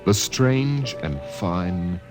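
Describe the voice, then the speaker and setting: A man's voice: low voice, animated, drawn-out words, music in the background. A man working as narrator, reading scripted science fiction in a dramatic storytelling style.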